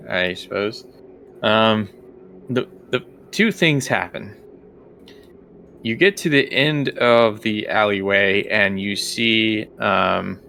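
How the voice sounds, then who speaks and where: A man narrating a tabletop game as game master, improvising scene descriptions in a halting way. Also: fairly low pitch, gravelly, pushed and bright, a little hiss or hum.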